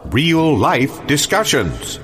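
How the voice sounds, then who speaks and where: A man playing a theatrical announcer in improv audio comedy, with a drawn-out, dramatic delivery. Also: fairly high pitch, wildly swooping pitch, a bit bright, soft background music, heavily acted. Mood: showy announcing. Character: theatrical announcer introducing mock real-life discussions.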